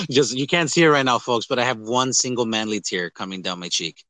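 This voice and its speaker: A man hosting a casual movie podcast, talking in an animated, rambling, jokey way with some swearing. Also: mid-range voice, fairly quick, no echo. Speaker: podcast host. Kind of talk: casual movie review chat.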